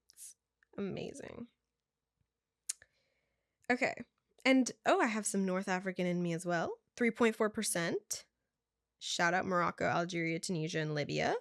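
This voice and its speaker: A woman's voice: on the low side, vocal fry, dry recording, clean studio sound. A young woman vlogger reading her results aloud in casual chat, stumbling over words and adding offhand asides.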